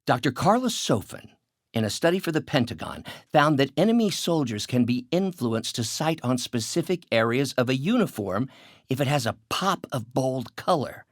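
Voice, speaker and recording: An actor playing an earnest, self-deprecating older male scientist and mentor in a video game, articulate and formal with a halting delivery. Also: mid-range voice, very animated, no room sound, clean studio sound, lightly acted. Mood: apologetic, earnest.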